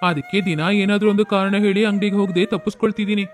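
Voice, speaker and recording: A man's voice: high-pitched, steady tone, rapid-fire, close to an everyday voice. A man voicing a lazy young son who brushes things off in a breezy, dismissive way in a cartoon story, with faint music behind him. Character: lazy carefree young man, pleasure-loving.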